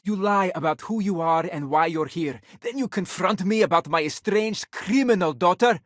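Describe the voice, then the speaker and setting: Stern, bitter father with a rough-edged voice. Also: on the high side, no echo, studio quality. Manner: stern, indignant, clipped formal phrasing. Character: bitter, proud widowed father, stern and wounded. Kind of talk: video game voice acting.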